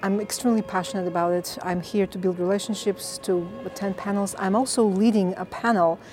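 A woman's voice: medium pitch, brisk, over music. A woman, a game composer telling her story with passion in an interview. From Bulgaria. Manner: passionate, lively storyteller with fillers. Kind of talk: casual interview answers.

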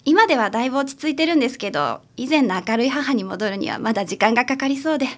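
A woman's voice: high-pitched, expressive, very fast. A woman playing a troubled, sincere grown-up daughter who is a client in a radio drama. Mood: earnest confiding. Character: troubled, sincere adult daughter seeking a detective's help.

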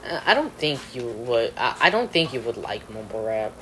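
A man's voice: medium pitch, sing-song, normal speed, a crowd in the background, low-quality recording. A young man chatting casually while playing a game, rambling, trailing off mid-sentence and laughing often. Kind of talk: casual chat over a game.

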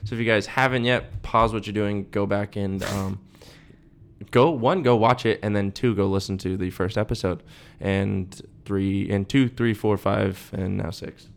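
A man's voice: fairly low pitch, fairly even intonation, measured pace, gravelly, a little hiss or hum. A young man co-hosting a podcast in casual chat, rambling with lots of "like" and "dude". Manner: rambling, heavy use of "like" and "dude". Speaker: podcast co-host.

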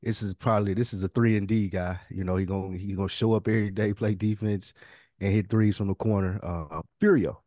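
A man's voice: lowish voice, steady tone, fairly quick, mellow, dry recording, studio quality. A man co-hosting a podcast in casual banter, talking in a slangy, profane way. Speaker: podcast co-host. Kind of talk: casual fantasy-draft banter.

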